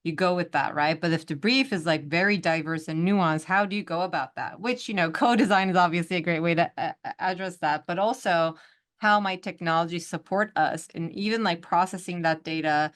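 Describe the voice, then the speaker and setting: A woman's voice: lowish voice, fairly quick, fairly bright tone. A woman hosting a podcast who speaks casually and hesitantly, with many "um" and "like" fillers. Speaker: podcast host. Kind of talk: casual interview conversation.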